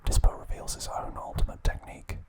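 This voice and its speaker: A man hosting an ASMR video, recapping in a soft, unvoiced whisper. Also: a little fast. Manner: brief aside to his cat. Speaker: ASMR host.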